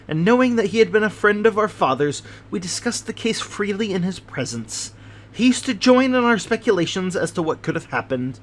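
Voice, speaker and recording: A man voicing a fussy, nervous older gentleman with a prim, precious way of talking. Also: high voice, a little hiss or hum. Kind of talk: audiobook character voice.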